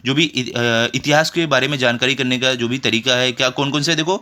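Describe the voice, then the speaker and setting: An Indian man teaches schoolchildren in a bright, forceful voice at an average male pitch. He explains step by step, reading and translating as he goes. He often asks rhetorical questions and adds short check phrases. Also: fairly even intonation, a little fast. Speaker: school tutor. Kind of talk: explanatory lesson for children, reading textbook and translating.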